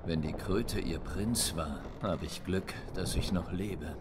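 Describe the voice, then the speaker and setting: A man voicing a gruff, grizzled male monster hunter who speaks tersely and with dry sarcasm. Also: deep voice, takes it slowly, heavy background noise. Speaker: captive hero. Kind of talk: German game dub.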